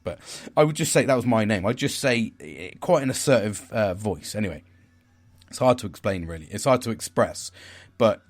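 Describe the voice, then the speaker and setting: A man with a naturally deep, loud voice talks through his week as a podcast host. His delivery is rambling and frank, with the odd swear word. His pitch swings up and down lively as he goes. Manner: rambling, candid, swears casually. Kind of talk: casual reflective monologue.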